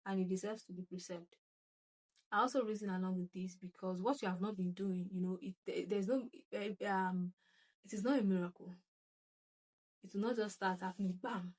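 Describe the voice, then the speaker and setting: A woman's voice: medium pitch, darkish tone, low-quality recording. A young Nigerian woman whose first language is Yoruba hosts her own channel, reading viewer comments aloud and reflecting on them in a chatty way, with frequent restarts and laughter. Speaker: channel host. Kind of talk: casual talk to camera, reading comments.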